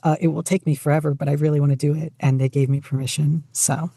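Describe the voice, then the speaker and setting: Mellow, mid-pitched podcast guest, close and dry. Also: flat delivery, fast talker, vocal fry, no room sound. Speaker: podcast guest, fan fiction writer and audio narrator. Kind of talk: casual interview chat.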